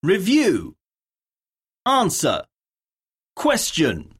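Woman doing english lesson narration, super slow and careful, a bit low and raspy. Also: wildly swooping pitch. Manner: deliberate, enunciated reading with pauses between items. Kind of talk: scripted English lesson narration, reading words and dictation.

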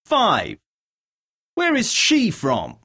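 A woman narrating a scripted English lesson, reading out words, questions and dictation in a deliberate, enunciated way with pauses. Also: a little high, wildly swooping pitch, a bit bright, husky.

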